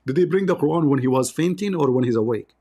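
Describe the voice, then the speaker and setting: Gravelly, sarcastic man. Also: a little high, expressive, quick pace, dark tone. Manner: mocking, sarcastic, reads verses aloud while searching. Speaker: debate host. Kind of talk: live online debate.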